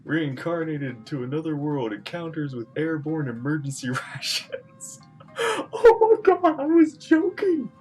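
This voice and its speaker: A woman doing casual live commentary as she plays a video game, joking and excitable. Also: deep voice. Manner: excitable, joking, drawn-out hesitant musing. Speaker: streamer reacting to a video game. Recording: game music faintly behind.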